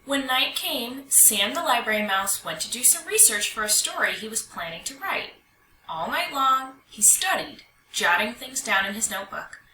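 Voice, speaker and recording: A woman reads a children's picture book aloud with a highly animated delivery. Her voice is fairly high and very bright, and the room echoes around her. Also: normal speed. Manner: expressive, animated storytelling delivery. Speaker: storybook reader. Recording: roomy echo, distant mic, faint noise.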